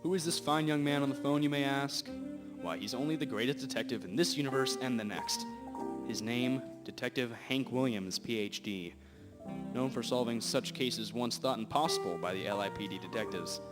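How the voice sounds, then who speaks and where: A man's voice: mid-range voice, moderate pace. A man narrating a scripted comic radio drama in a mock-dramatic storytelling manner. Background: music.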